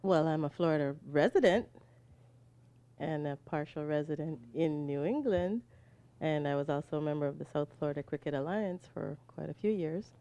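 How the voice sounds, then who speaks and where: A woman's voice: low-pitched, moderate pace, dark tone. A woman with a light Jamaican accent, a regional cricket director, giving brief, composed and dryly witty answers in a booth interview. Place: commentary booth at a cricket ground.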